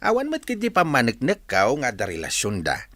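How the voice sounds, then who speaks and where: A man's voice: medium pitch, wildly swooping pitch, a little fast, bright, forceful tone, no room sound, heavily acted. A man acting a blunt, meddling older uncle in a radio drama, emphatic and exclamatory. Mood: concerned, warning. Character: blunt, meddling older uncle giving warnings to his nephew.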